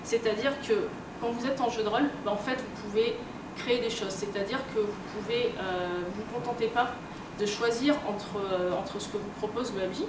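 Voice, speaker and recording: A woman's voice: mid-range voice, fairly even intonation, normal speed. A woman giving an informal conference lecture in a conversational, hesitant way. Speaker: conference speaker. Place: convention talk room. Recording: echoey room, distant mic, clear noise.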